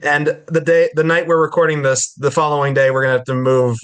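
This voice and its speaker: A man co-hosting a casual podcast chat, low-key and quick with asides. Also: level tone, clean tone.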